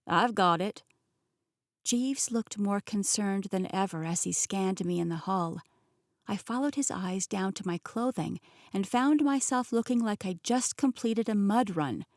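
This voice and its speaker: A woman's voice: medium pitch, a little mellow, no room sound. Young woman narrating an audiobook, dry. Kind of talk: first-person audiobook narration.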